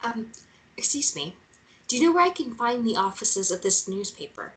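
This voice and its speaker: A woman's voice: on the high side, big pitch swings, normal speed, poor audio. A woman playing an earnest, nervous woman searching for a missing relative, reading hesitantly and naturally at a table read. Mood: hesitant, polite. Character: earnest, nervous young woman seeking her missing aunt.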